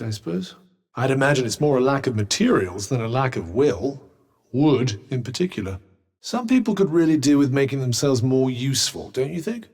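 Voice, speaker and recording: Game companion: sardonic male bracelet, drawling and condescending, mid-pitched and animated. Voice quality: dry, wry, sarcastic. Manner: drawling, faintly mocking asides. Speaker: game character. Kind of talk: game voice lines.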